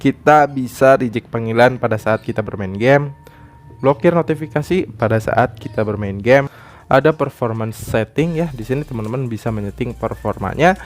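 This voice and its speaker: A man narrates an app tutorial in an explanatory way, often tagging his sentences with a short "ya". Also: mid-range voice. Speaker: tech tutorial YouTuber. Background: background music.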